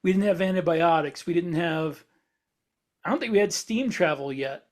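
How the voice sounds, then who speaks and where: Casting: male co-host with a somewhat high voice, informal and discursive, building points from examples. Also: lively intonation, moderate pace. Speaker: podcast co-host. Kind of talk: casual podcast discussion.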